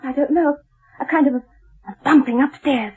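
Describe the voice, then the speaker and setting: An actress playing an anxious, insistent wife, urgent and frightened, in old-time radio drama style. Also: female voice, high voice, normal speed, mellow, lightly acted. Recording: muffled lo-fi old radio recording.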